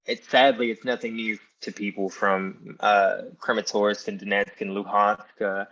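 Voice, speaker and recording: A man's voice: lowish voice, level tone, measured pace, fairly bright tone. A young American man, a podcast guest, talking earnestly and reflectively with frequent "um"s. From Ukraine. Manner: earnest, reflective, many fillers. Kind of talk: casual interview talk. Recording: dull lo-fi sound.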